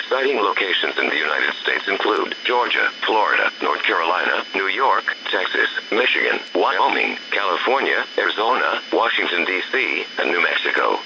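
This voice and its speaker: A low-voiced man reads an official emergency announcement. He sounds formal and synthetic, with no personal touch, over a clearly noisy radio-like broadcast.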